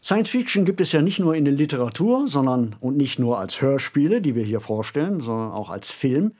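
Husky man with lively intonation. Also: mid-range voice, brisk. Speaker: podcast co-host. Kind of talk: conversational discussion of science fiction.

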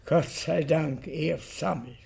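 An actor playing a genteel, affable baron and high official in a radio comedy, courtly and hesitant, often repeating himself. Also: male voice, slow, gravelly, a little mellow. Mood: relieved, gracious. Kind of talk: classic German radio comedy acting.

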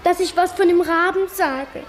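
High-voiced, earnest little schoolgirl. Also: normal speed, some chatter behind, a little hiss or hum. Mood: tearful, defensive. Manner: hesitant, childlike, short earnest answers. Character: shy, earnest little schoolgirl, stubbornly truthful. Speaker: actor in a radio play. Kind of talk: German radio drama dialogue.

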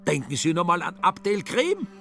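A man voicing an older male nationalist in a radio play, a fanatical, spiteful ranter who shouts in agitation. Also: high-pitched, wildly swooping pitch, moderate pace, creaky, faint music behind, pitched above the performer's own voice, exaggerated voice. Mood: fanatical ranting.

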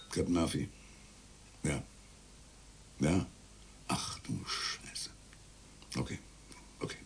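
An actor playing a weary, gruff police captain who speaks in an exasperated way. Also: male voice, extremely low pitch, heavy background noise, heavily acted. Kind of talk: radio drama acting.

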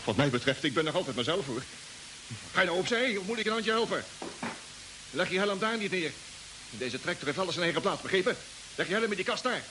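An actor playing a stubborn, excitable spaceship crewman in an old science-fiction radio drama, blustering and breaking off mid-sentence. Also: sits high, a little fast, long pauses. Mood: defiant, angry. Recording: hissy old radio recording.

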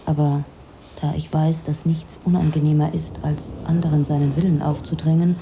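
A woman's voice: deep voice, flat delivery, normal speed, vocal fry, muffled recording, a little hiss or hum. An actress playing a weary, detached, lonely woman who narrates her own story in a dry, introspective way for a radio drama. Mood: dry, reserved. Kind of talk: literary first-person radio drama narration.

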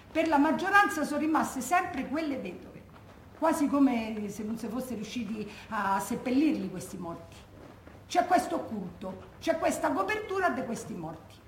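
Roman widow's daughter, echoey room. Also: female voice, sits high. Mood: indignant. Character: indignant, grieving daughter of a Roman war widow. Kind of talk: theatrical testimony monologue, colloquial storytelling. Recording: echoey room, faint background noise.

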